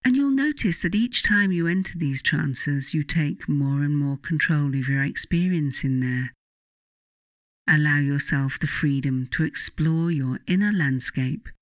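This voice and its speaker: A narrator guiding a meditation from a script in a soothing, deliberate, instructing manner. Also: medium pitch, no room sound. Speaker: author narrating a guided meditation.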